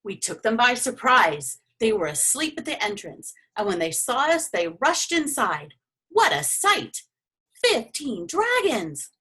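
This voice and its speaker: A boastful explorer from a children's storybook, read by a woman, eager and emphatic as he reports his discovery. His delivery is animated, with a lightly husky tone. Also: fairly high pitch, unhurried, heavily acted. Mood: excited, proud. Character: excited boastful male explorer reporting a dragon find.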